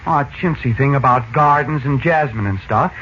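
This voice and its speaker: An actor playing an eager, slangy teenage son in a 1940s radio drama. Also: male voice, medium pitch, moderate pace, a bit bright, natural voice. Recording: muffled, faintly noisy 1940s radio recording.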